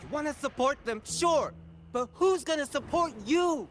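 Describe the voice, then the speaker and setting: A woman's voice: high voice, lively intonation, normal speed, a little hiss or hum. A woman voicing a stubborn, bratty young little brother in a video game, whiny and protesting. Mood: upset, pleading. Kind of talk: animated game voice acting.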